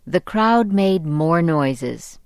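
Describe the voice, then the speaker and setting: An American woman reading scripted educational narration with measured, careful enunciation for English learners. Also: low-pitched, animated, darkish tone, no room sound, clean studio sound.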